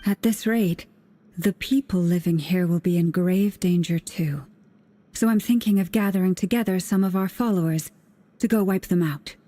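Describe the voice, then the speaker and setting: A woman's voice: fairly low pitch, moderate pace, mellow, natural voice. An actress voicing an earnest adult woman ally in a game, recounting lore and making plans.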